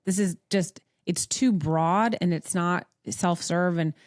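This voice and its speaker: A woman's voice: fairly low pitch, slow, no echo. Blunt Canadian woman, dry close sound. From Montreal, Canada. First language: English. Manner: blunt, sweary, emphatic. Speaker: podcast host. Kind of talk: casual conversational advice talk.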